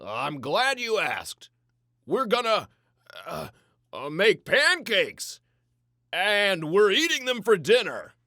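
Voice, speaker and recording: A man voicing an upbeat, mischievous storybook dad with a loud, dramatic, drawn-out delivery. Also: high voice, very animated, dry recording, clean studio sound, voice pushed up, exaggerated voice. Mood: gleeful, conspiratorial.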